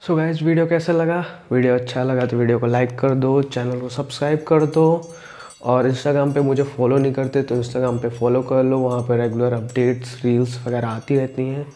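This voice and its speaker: A man's voice: medium pitch, normal speed. A young man talking to camera on a lapel mic in a small room, a fitness vlogger chatting casually and asking viewers to like and subscribe. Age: young adult. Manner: steady, flat delivery with repeated calls to like and subscribe. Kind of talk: casual vlog talk to camera.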